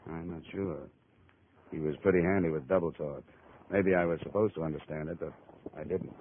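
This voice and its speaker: Hardboiled male investigator, American, low, clipped delivery, tinny vintage radio sound. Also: fairly even intonation, brisk, slight background noise. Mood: probing, subdued. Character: hardboiled male insurance investigator, cool and probing. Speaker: lead radio actor, narrator. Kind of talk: 1950s radio detective acting, first-person narration. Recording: muffled old radio recording, tinny.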